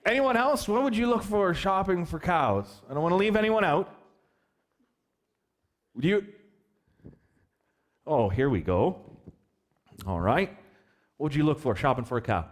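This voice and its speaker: Lively storytelling male pastor. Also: on the high side, measured pace, long pauses. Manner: animated, joking, asks the kids questions. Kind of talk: storytelling sermon. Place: church hall.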